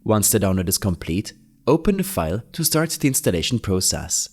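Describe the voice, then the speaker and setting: Low-pitched man narrating a software tutorial. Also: very animated, a little fast. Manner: instructional, orderly, plainly worded. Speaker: tutorial host.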